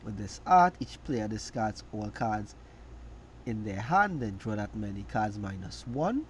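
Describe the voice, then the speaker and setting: A man with a cold, hoarse and raspy, casually reading out and reacting to trading card text. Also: on the low side, big pitch swings, slight background noise. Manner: reads card text aloud, reacts with quick asides. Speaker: card game reviewer. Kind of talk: casual card review commentary.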